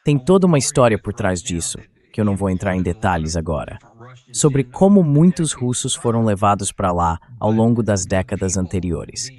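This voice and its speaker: A man's voice: fairly low pitch, darkish tone. A man with a Brazilian accent speaking as an interview guest in a translated voice-over, explaining in a conversational way. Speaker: interview guest giving political commentary.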